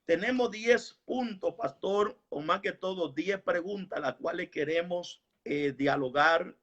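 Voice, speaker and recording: Dull-sounding male religious host, fairly high voice. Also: a little slow, a bit bright. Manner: devout, interjects praise exclamations. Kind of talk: religious hosting, prayer and scripture reading.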